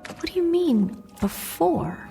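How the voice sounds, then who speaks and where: An actress playing an anxious, bewildered young woman in her twenties in a radio drama, halting and defensive as she speaks. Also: extremely high pitch, sing-song, vocal fry, warm tone, faint music behind, heavily acted. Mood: shaken, defensive. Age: 20s. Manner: halting, stammering. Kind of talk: dramatic radio play acting.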